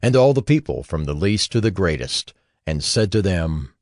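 A man narrating a dramatized audio Bible in a measured, formal storytelling manner. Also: sits low, very animated, a little mellow, no room sound, studio quality.

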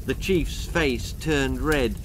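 A man in his sixties with a British accent, reading a spooky comic children's story in a measured, dramatic way. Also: mid-range voice, a little hiss or hum, low-quality recording. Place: small book-lined room indoors. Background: music.

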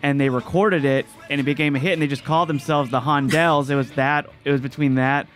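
A man's voice: a little high, steady tone, a little fast, fairly bright tone, soft background music. A man co-hosting a podcast, explaining trivia in a casual conversational way. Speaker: podcast co-host. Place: podcast studio, indoors. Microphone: studio microphone on arm with headset.

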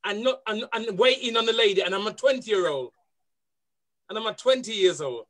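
A man's voice: sits high, expressive, moderate pace, a bit bright. A man on a talk show panel, playful and teasing, often repeating himself. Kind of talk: casual panel chat.

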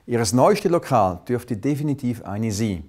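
Man, swiss german accent, studio presenter, average pitch, measured delivery. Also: very animated, a little mellow. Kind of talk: scripted TV magazine presenting.

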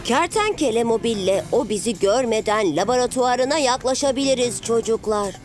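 A woman's voice: on the high side, lively intonation, a little fast, natural voice. A woman voicing an earnest, wide-eyed young boy superhero in a cartoon, eager and easily distracted. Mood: determined. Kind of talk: animated cartoon voice acting. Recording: music behind the voice.

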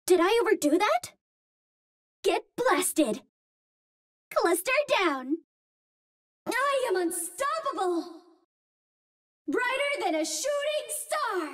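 A woman's voice: very high-pitched, no echo, clean studio sound, exaggerated voice. An actress voicing a cute, earnest young Martian space heroine in a video game, with a light girlish voice and precise, formal wording. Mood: triumphant. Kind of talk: video game hero voice lines.

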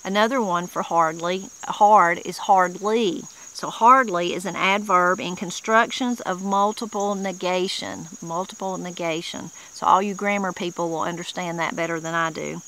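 A woman's voice: fairly low pitch, fairly even intonation, normal speed, hardly any pauses, fairly bright tone, low-quality recording. A middle-aged woman with a Southern Appalachian accent, casually explaining old mountain words outdoors in a wooded yard. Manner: homespun and plain-spoken, with frequent restarts. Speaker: channel host. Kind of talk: casual explanatory talk reading dictionary entries.

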